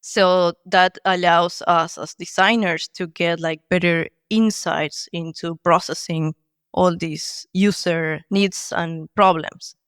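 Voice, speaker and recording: A woman's voice: fairly low pitch, fairly even intonation, slow, fairly bright tone, clean tone, no echo, clean studio sound. A woman from Argentina, a design leader, answering interview questions in a thoughtful, explanatory way with frequent fillers.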